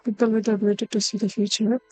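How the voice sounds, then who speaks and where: A woman's voice: mid-range voice, little pitch movement, racing, mellow. A woman streaming a game, thinking aloud in casual, musing reactions. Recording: music under the voice.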